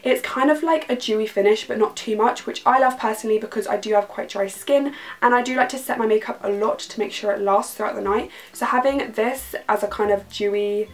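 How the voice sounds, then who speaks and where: Young British woman beauty vlogger, slightly croaky, effusive and chatty, casual bedroom review to camera. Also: on the high side, fairly quick. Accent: British English. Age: young adult. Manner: gushing, enthusiastic, repeats words for emphasis. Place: bedroom, indoors.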